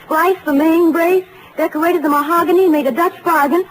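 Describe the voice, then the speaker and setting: A woman's voice: very high voice, fairly even intonation, moderate pace, low-quality recording. An actress playing a young, girlish, adoring detective's secretary in a 1940s radio comedy, eager and breathlessly naive. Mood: playful, flustered. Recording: vintage 1940s radio, faint noise.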